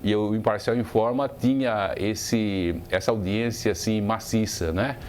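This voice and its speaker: A man's voice: steady tone, a little slow, a little mellow. An older man with a Brazilian accent reminiscing in an interview, repeating words for emphasis. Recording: faint low background rumble.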